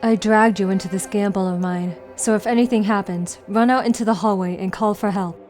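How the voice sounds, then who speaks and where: A woman voicing a composed, analytical teenage girl detective in a fan dub, speaking in a measured, formal and deliberate way. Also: mid-range voice, fairly even intonation, darkish tone, faint music behind, close to an everyday voice. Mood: composed, serious. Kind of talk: anime-style fan dub acting.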